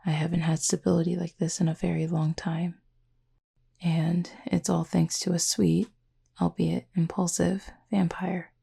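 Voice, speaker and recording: A woman playing a gentle, formal cupid roommate in an intimate roleplay audio, speaking softly and breathily with measured, teasing politeness. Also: lowish voice, flat delivery, heavily acted. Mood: tender, calm. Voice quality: soft, breathy, slightly husky. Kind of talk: intimate roleplay audio acting, addressed to listener.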